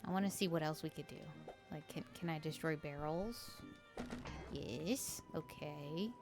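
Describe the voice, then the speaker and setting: A woman streamer with a low, slightly raspy voice, chatting in a dry, monotone, joking way while she plays a game. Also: unhurried, music in the background. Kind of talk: casual let's-play commentary.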